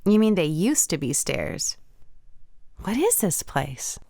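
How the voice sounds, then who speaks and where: Expressive, spirited Japanese woman. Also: fairly low pitch, takes it slowly, creaky, heavily acted. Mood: teasing. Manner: teasing, earnest, expressive. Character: spirited Japanese woman, playful but wary. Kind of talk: radio play dialogue.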